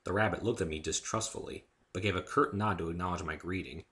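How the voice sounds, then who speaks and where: A man narrating a first-person story as an expressive dramatic reading. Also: low-pitched, fast talker. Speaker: amateur narrator and author.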